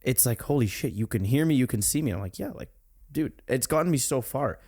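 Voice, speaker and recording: A young man in his twenties hosting a casual podcast chat, speaking in a calm, thoughtful, reflective way. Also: mid-range voice, brisk. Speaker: podcast host.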